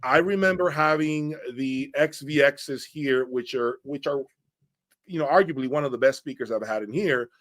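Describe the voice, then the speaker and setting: A man hosts an informal product breakdown in a mid-pitched voice. He speaks bluntly and with emphasis, states opinions firmly, and keeps checking that the listener follows. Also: normal speed, a bit bright. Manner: blunt, emphatic, often checks with "okay?" and "right?". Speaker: audio reviewer and host.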